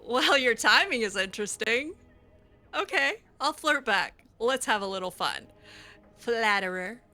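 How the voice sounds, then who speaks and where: Bright, very lively higher-voiced woman. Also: unhurried, soft background music. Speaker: gameplay roleplayer voicing own character's replies. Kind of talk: casual improvised in-character roleplay commentary.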